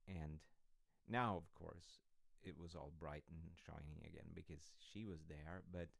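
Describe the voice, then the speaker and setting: Slow, very low-voiced gentle dad played by a man. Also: steady tone, vocal fry, no room sound, studio quality, exaggerated voice. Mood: animated, delighted. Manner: unhurried, reflective, with soft pauses and hushed moments. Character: gentle, affectionate dad telling his child a myth. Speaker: audio roleplay performer. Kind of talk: intimate comforting ASMR audio roleplay storytelling.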